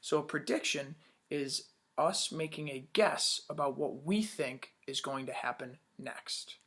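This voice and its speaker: A man's voice: medium pitch, a little slow, a bit bright, breathy edge. A man teaching young children in a read-aloud lesson, upbeat, asking questions and praising answers.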